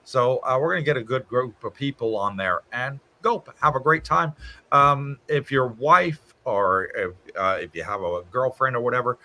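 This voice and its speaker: A man's voice: mid-range voice, moderate pace, sharp tone. A man hosting a casual livestream from his home, reading out viewer comments and answering them in a chatty way. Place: home in Thailand.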